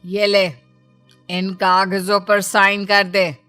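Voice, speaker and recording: A woman voicing a scheming, bullying middle-aged mother-in-law in a moral story, with a sharp-tongued, imperious manner. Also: medium pitch, little pitch movement, takes it slowly, clean tone, soft background music. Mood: commanding. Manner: commanding, threatening, stammering when cornered.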